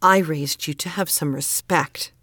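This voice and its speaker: A woman's voice: low voice, measured pace, a bit bright, no echo, clean studio sound. A woman playing a stern, exasperated middle-aged mother who holds to tradition, in a naturalistic audio drama, insistent and scolding. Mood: weary, exasperated. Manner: insistent, guilt-laden pleading and scolding.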